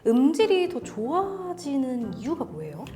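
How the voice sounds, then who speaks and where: A woman's voice: sits high, very animated, a little slow, dark tone, soft background music. A woman co-hosting an audio gear review, conversational, agreeing and prompting with questions.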